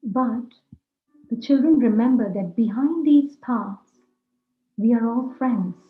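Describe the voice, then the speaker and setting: Slow-paced woman guiding a meditation. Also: fairly high pitch. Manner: deliberate, speaking in short, measured phrases. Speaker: guided meditation teacher. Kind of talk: slow guided meditation talk. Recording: roomy echo, dull lo-fi sound, music. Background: music.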